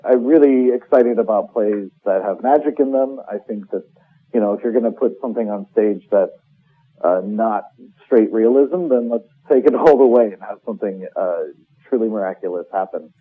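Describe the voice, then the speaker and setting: Casting: male playwright interviewee, reflective and self-correcting, husky-edged voice over a narrow phone-like connection. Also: lowish voice, normal speed. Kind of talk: casual interview conversation. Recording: muffled phone-like line.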